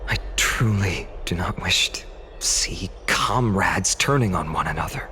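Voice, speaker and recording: An actor playing a courteous, earnest young scholar-warrior in a video game, speaking in a formal, measured, explanatory way. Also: male voice, low voice, lively intonation, pushed and bright, slight background noise, full character voice. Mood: grave, concerned. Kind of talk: scripted video game dialogue. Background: background music.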